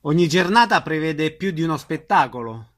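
Male radio host, slightly high-pitched, bright and forceful, conducting an interview. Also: lively intonation, normal speed.

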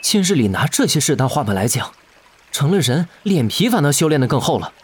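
A man playing a gentle but defiant, self-sacrificing young immortal in an audio drama, with earnest, expressive delivery. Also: fairly high pitch, brisk, breathy edge. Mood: teasing. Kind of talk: Chinese audio drama acting.

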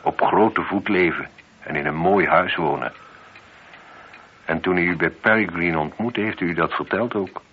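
Casting: methodical police inspector for radio drama, low voice, probing and courteous; muffled, noisy old recording. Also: male voice, husky. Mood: measured, accusing. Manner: measured, probing, politely insistent. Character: shrewd, methodical male chief police inspector confronting a suspect. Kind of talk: radio play dialogue.

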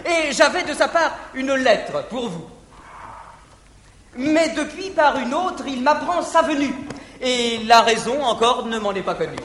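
An actor playing an earnest, lovestruck young gallant in a 1950s verse stage comedy. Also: male voice, very high-pitched, lively intonation, measured pace, fairly bright tone, exaggerated voice. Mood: eager. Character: young lovestruck gallant man, eager and open. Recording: old theater recording, echoey hall, faint hiss.

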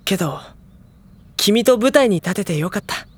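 An actor playing a gentle, earnest high school boy who speaks and narrates sincerely in an audio drama. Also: expressive, normal speed, slightly rough. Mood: earnest, warm.

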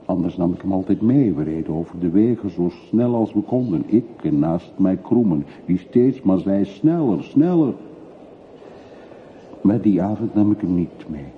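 A man's voice: sits low, animated, normal speed. An actor playing a brooding middle-aged man back from long exile in a radio play, terse, evasive and reflective. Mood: wistful, remembering. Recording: muffled phone-like old radio recording, faint hiss.

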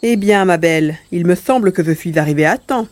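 A tiny furry alien hero played by a man speaks in a high, lisping voice. He is a cheerful, boastful rescuer from a science fiction audio drama. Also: fairly quick, darkish tone, natural voice. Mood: playful. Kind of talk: audio drama character acting.

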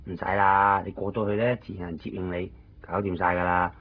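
An actor playing a gruff, worldly fixer who looks after a friend in a radio drama. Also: male voice, sits low, flat delivery, brisk. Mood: brisk, instructing. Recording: dull 1990s radio recording, faint noise.

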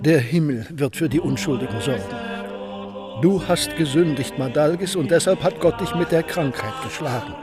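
An actor playing a stern, unforgiving abbot who declaims solemnly in a radio drama. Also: male voice, fairly high pitch, moderate pace, music in the background, natural voice.

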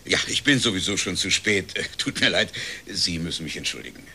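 Brusque, forceful executive. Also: male voice, deep voice, lively intonation, a little fast, slight background noise, exaggerated voice. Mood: busy, dismissive. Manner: officious, clipped, hesitating with polite excuses. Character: brusque, self-important West German privatisation agency executive. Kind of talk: German radio crime drama dialogue.

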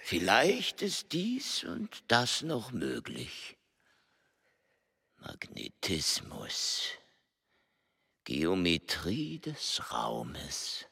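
A man acting an ageing, world-weary male scholar in a German radio play, musing slowly as if half to himself. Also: low voice, huge pitch swings, vocal fry, sharp tone, slightly rough, no echo, clean studio sound, heavily acted. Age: older. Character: ageing male scholar, world-weary, bitter about family, oddly light.

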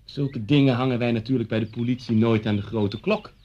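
A man's voice: mid-range voice, steady tone, a little fast, dark tone, lightly acted. An actor playing a genial, chatty neighbourhood policeman in a Dutch radio play, familiar yet politely deferential. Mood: affable, confiding. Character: genial male neighbourhood policeman, former pupil, a father. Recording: dull lo-fi radio play recording.

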